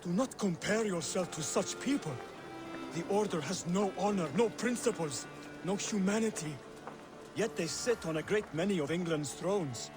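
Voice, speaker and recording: A male actor plays an earnest young male assassin scholar in a video game cutscene. His voice sits high for a man, and he speaks with measured, formal conviction, like a mentor giving solemn instruction. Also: over music, natural voice. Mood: grave, persuasive.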